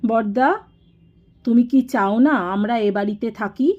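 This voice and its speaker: A woman's voice: fairly high pitch, a little slow, a little mellow, dull audio. A woman voicing a grave, resentful younger brother in an audio storybook, speaking in a pointed, accusing way. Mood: grave reproach.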